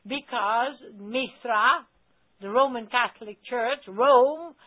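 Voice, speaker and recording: A woman's voice: on the high side, slow. A woman with a Dutch accent, telling a story animatedly on a phone call, with emphatic stammered restarts. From Netherlands. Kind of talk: casual talk on a radio call-in. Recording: tinny phone line.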